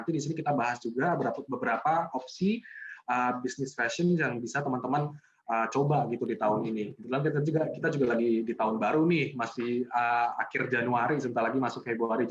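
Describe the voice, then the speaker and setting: A man's voice: medium pitch, highs cut off, slight background noise. A man hosting a webinar in a semi-formal, friendly and somewhat hesitant way, addressing the audience as friends. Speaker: webinar host and moderator. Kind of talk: semi-formal online event introduction.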